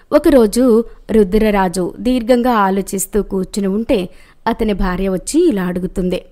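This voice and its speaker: A woman's voice: medium pitch, darkish tone. A woman reading a scripted moral story as its narrator.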